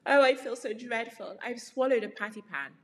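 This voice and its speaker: A woman's voice: medium pitch, big pitch swings, moderate pace, voice pushed up, lightly acted. A woman voicing an anxious, polite female dog at tea in a storybook, fretful and genteel. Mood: alarmed, moaning. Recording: very reverberant room.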